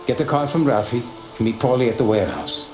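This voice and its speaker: An actor playing a fatherly, calmly menacing mafia consigliere from Sicily in a cinematic game, measured, blunt and lecturing. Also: medium pitch, echoey room, music in the background, lightly acted. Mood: businesslike.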